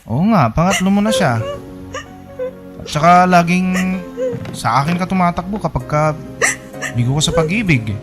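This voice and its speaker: A man's voice: high-pitched, expressive, normal speed, pauses often, over loud music. An actor playing a lovelorn young man in a Filipino radio drama, earnest and colloquial, with a Filipino accent. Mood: exasperated concern. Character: lovelorn young man pining for his best friend. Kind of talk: sentimental Filipino radio drama, narration and dialogue.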